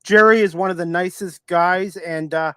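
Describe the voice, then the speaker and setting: Higher-pitched man hosting a casual sports podcast. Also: steady tone, normal speed, fairly bright tone, clean tone, dry recording, studio quality. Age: 50s.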